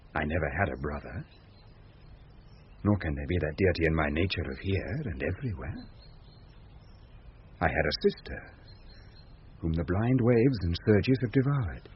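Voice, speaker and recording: An actor with a British accent playing an ardent young gentleman, speaking emotional Shakespearean verse in a radio drama. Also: rumbling low, expressive, unhurried, pauses often, slight background noise. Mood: awed wonder. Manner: emotional, declamatory verse delivery. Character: young gentleman, ardent twin brother thought drowned. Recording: muffled old radio broadcast recording.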